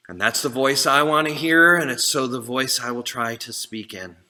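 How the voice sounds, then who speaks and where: A man preaching a sermon as a minister, speaking earnestly in short, deliberate phrases. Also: medium pitch, sharp tone.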